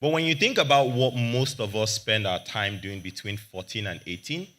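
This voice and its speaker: A young man, arguing confidently and emphatically as a panelist, speaking into a handheld microphone with a loud voice. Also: fairly low pitch, moderate pace. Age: young adult. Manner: confident, emphatic, argumentative, says he has a loud voice. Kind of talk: panel discussion remarks.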